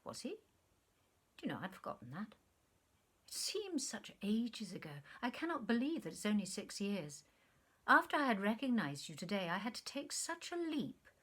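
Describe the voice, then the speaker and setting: A woman's voice: a little high, very animated, moderate pace, fairly bright tone, husky, exaggerated voice. Literary story role: woman plays wistful, self-absorbed male former lover, effusive and earnest in delivery. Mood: nostalgic.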